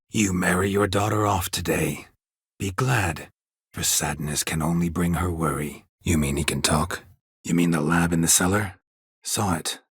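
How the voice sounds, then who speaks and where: An actor playing a gruff, weary, sardonic monster hunter for hire in a video game, with terse, deadpan delivery and a low gravelly voice. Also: male voice, dry recording. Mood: dry, questioning. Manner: terse, dry, deadpan, clipped sentences. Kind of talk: video game character dialogue.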